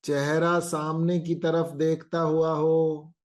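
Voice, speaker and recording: A man guiding a meditation as an instructor, speaking calmly in short phrases with long pauses. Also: a little high.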